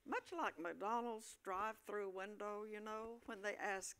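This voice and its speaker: A woman's voice: medium pitch, fairly even intonation, a little slow, fairly bright tone. A woman reading scripted comic narration for a staged play, in a mid-range voice.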